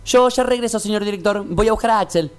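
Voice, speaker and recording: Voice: medium pitch, fast, smooth, close to an everyday voice. An actor playing a fawning, eager assistant who parrots the boss in a comic radio play. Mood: eager, servile. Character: fawning, eager assistant to a casting director, Orlando.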